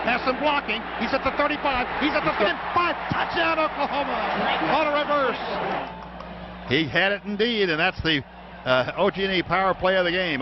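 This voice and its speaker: An American man calling football play-by-play on the radio in clipped running descriptions that get excited on big plays. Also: high-pitched. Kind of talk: live American football commentary. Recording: dull, noisy radio broadcast from stadium.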